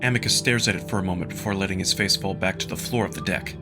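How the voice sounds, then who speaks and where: Low-pitched man narrating a dramatic story. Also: quick pace. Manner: expressive, acted storytelling. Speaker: narrator and performer of a visual novel. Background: music.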